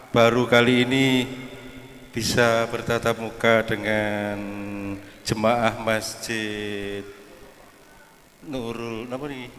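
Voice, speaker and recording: Male official from Solo giving a formal welcome, polite and halting, flat and slow, reverberant PA sound. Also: mid-range voice. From Solo, Central Java. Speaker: village head giving a speech.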